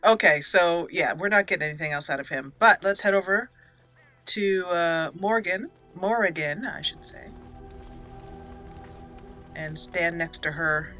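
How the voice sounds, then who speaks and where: Bright-voiced woman hosting a let's play. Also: lowish voice, a little slow, pauses often. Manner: giggly, chatty. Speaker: YouTube host doing a roleplay let's play. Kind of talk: casual game commentary. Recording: game music behind the voice. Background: video game music.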